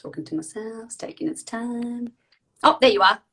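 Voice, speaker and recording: A woman in her thirties hosting a casual live video chat, chatty and quick, with lots of fillers. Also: fairly high pitch, sing-song, a bit bright. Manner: chatty, talks fast with many fillers. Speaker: host.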